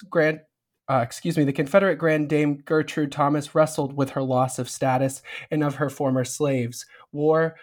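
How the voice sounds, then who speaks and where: A man hosting a book podcast, reading a formal introduction and asking interview questions. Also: fairly high pitch. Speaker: podcast host.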